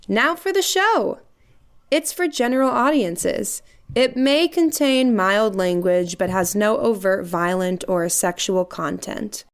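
A woman reading a scripted promotional announcement in a clear, measured way. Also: medium pitch, animated. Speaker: festival announcer.

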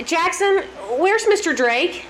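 An actress playing an impatient, worried, suspicious wife in old-time radio drama style, with insistent, questioning delivery. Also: female voice, extremely high pitch, expressive, slow, fairly bright tone, full character voice. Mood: anxious, puzzled. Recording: noisy live stage performance recording.

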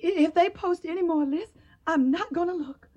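A woman's voice: very high-pitched, moderate pace, warm tone, highs cut off. A woman playing an earnest, emotional young woman in a radio drama. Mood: distressed. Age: young adult. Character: earnest, tender young Southern woman in wartime.

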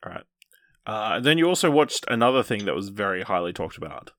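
A man's voice: on the low side, big pitch swings, quick pace, fairly bright tone, no echo, studio quality. A man co-hosting a podcast in a casual, conversational style. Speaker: podcast co-host. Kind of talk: casual chat.

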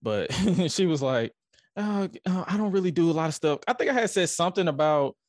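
A man in his twenties, a podcast guest, talking candidly and haltingly with restarts. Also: on the high side, expressive, a little mellow, dry recording, clean studio sound. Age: 20s. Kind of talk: casual, candid chat.

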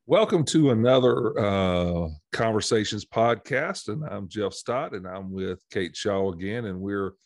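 A man hosting a church podcast, talking through the topic in a casual, rambling way, his voice slightly hoarse from allergies. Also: fairly low pitch, no echo, clean studio sound. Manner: rambling, many fillers and restarts. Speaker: podcast host. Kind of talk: casual teaching conversation.

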